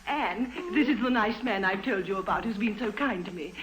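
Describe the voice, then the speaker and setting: A woman's voice: medium pitch, moderate pace, fairly bright tone, natural voice, poor audio. An actress playing a sociable, genteel titled lady, polite and gushing, in 1950s radio acting on an old radio recording. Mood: gracious, fluttery.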